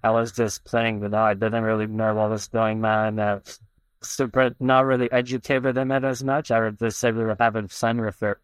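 A man's voice: lowish voice, flat delivery, normal speed, smooth, no room sound, low-quality recording. A man from Iran who grew up in Canada, not a native English speaker, chatting casually as an understated interview guest. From Iran, raised mostly in Canada. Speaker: interview guest, soccer player. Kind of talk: casual chat, recounting his own story.

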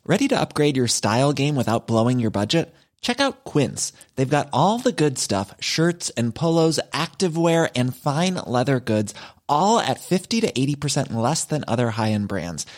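A man's voice: mid-range voice, big pitch swings, moderate pace. A man giving an upbeat, breezy ad read. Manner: breezy, salesy. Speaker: ad reader.

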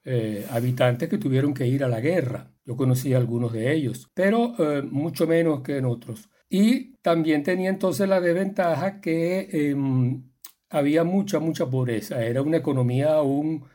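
A man's voice: fairly high pitch, normal speed, mellow. An older man from the Canary Islands, a guest filmmaker, telling stories from his life in a reflective, rambling way with many restarts. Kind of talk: relaxed storytelling.

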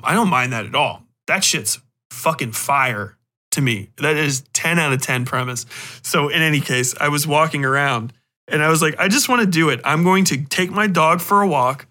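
A man hosting a podcast in rambling, casual talk, animated and emphatic, swearing freely. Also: a little high. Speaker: podcast host and fiction writer.

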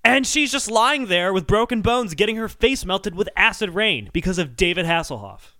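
A man's voice: high-pitched, moderate pace, no echo. A man co-hosting a comedic podcast in casual chat, joking sarcastically and swearing freely. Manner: sarcastic joking, animated, profane. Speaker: podcast co-host.

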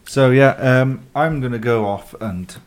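A man's voice: mid-range voice, unhurried, slight background noise. A Welsh man co-hosting a podcast, chatting in a rambling, laid-back, self-mocking way. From Wales. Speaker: podcast co-host. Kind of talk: casual chat.

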